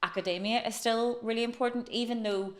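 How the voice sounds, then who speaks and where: A woman's voice: fairly high pitch, fairly even intonation, a little fast. A woman in her late forties, a podcast guest telling her life story in a chatty, flowing way. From Northern Ireland. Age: late 40s. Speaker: interview guest. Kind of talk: casual podcast interview.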